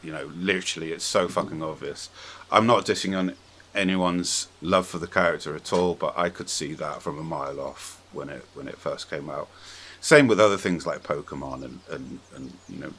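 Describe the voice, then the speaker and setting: A man hosting a casual podcast discussion about films, giving blunt opinions in halting, hesitant phrases. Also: rumbling low, fairly bright tone, husky. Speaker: podcast host.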